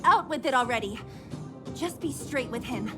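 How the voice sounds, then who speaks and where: A woman's voice: high voice, lively intonation, normal speed, over music. A woman playing a confident, blunt young woman in a video game, direct and casual. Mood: impatient. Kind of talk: video game cutscene acting.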